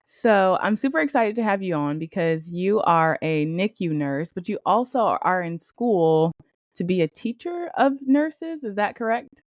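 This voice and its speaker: A woman's voice: mid-range voice, animated, a little slow, no room sound. A woman hosting a podcast, upbeat and friendly, asking her guest questions. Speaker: podcast host. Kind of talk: podcast interview.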